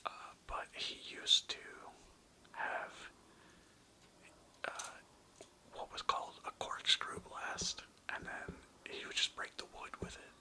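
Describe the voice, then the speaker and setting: Someone doing asmr, all whispered, super casual rambling, kinda sweary, breathy. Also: slow, pauses often, bright, forceful tone, low-quality recording. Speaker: ASMR content creator. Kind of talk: ASMR, casual rambling toy show-and-tell.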